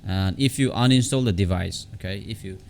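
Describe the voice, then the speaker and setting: A low-pitched man walks through a software tutorial off the cuff. His voice has a gravelly creak, and he halts and repeats phrases as he goes. There is clear background noise on the recording. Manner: halting, repetitive, often restarting phrases. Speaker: tutorial host.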